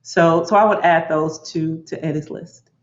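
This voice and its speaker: A woman speaking as a panelist in a reflective, deliberate and earnest way. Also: low-pitched, fairly even intonation. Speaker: panelist, corporate leader. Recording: roomy, muffled lo-fi audio.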